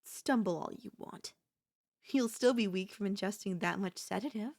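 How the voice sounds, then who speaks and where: A woman's voice: medium pitch, normal speed, a little mellow, lightly acted. A woman playing an obsessive, scheming yandere in a theatrical, haughty manner with formal phrasing, in an ASMR audio roleplay. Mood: menacing, suspicious.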